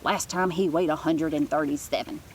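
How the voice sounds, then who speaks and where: A woman's voice: low voice, fairly even intonation, normal speed, a little mellow, slight background noise, lightly acted. A woman voicing a sly, brazen schoolgirl bully in a storybook, blunt and taunting.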